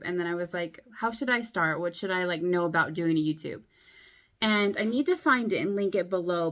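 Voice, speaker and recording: A young woman vlogging casually to camera, chatty and rambling, her voice a bit hoarse from a cold. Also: mid-range voice, a bit bright. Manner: chatty, rambling, many likes and ums. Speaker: beauty vlogger.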